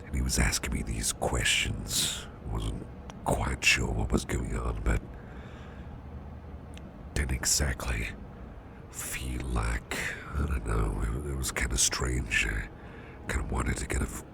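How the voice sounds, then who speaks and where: An extremely deep, gruff male boss, acted by a man for intimate ASMR roleplay, heavy with gravel and half-whispered. He rambles slowly and hesitantly, like a protective, caring confidant. There is clear background noise behind him. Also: steady tone, full character voice. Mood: musing, conspiratorial. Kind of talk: ASMR roleplay, soft intimate storytelling to the listener. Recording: clearly noisy background hiss or ambience.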